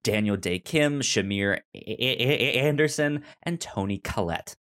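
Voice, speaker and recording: A man co-hosting a casual film podcast, speaking haltingly and often stuttering over repeated words. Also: mid-range voice, animated, fairly bright tone. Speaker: film review podcast co-host. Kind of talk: casual chat.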